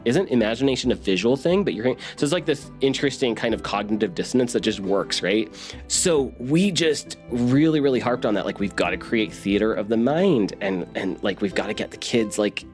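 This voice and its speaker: Man, fairly low voice, informal producer interview, checks in often, faint music behind. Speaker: executive producer. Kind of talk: casual interview explanation.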